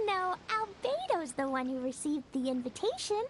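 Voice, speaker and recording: A sweet, excitable little girl from a video game. The voice is very high and swings brightly up and down, with clear background noise. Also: unhurried, exaggerated voice. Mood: giddy. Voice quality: childlike. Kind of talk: video game character dialogue.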